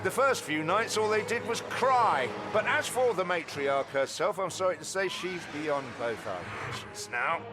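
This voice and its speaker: An actor playing a smug captive villain in a video game, taunting with theatrical mock regret. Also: male voice, high-pitched, animated, a little slow, few pauses, music in the background. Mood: mock sorrow. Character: captive villain, smug, mocking, feigning sorrow, unafraid. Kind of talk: scripted video game dialogue.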